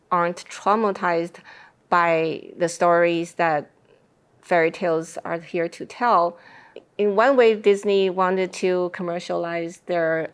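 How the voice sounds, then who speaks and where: A woman's voice: on the low side, smooth. Woman explaining ideas in an interview. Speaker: interview guest. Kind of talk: thoughtful interview discussion.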